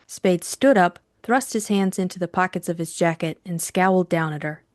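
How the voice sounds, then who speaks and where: A woman's voice: fairly low pitch, normal speed, dry recording, clean studio sound. A woman reading scripted audiobook narration as the storyteller.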